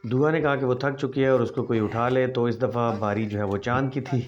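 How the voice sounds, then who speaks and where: A man narrating a vlog in a calm, explanatory voice-over, reminiscing as he goes. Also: mid-range voice, fairly quick, lo-fi sound, a little hiss or hum.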